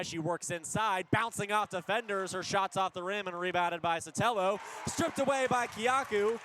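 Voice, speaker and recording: Guy calling hoops on the radio, pretty high voice, punchy and excitable, faint arena noise behind him. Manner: energetic, punchy short phrases, rising on big plays. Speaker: basketball play-by-play announcer. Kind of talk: live radio play-by-play commentary. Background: basketball arena ambience.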